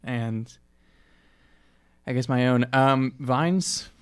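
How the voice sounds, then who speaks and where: A man's voice: medium pitch, drawn-out words, clean tone. A man improvising casually as a player in a tabletop role-playing game, in a conversational style.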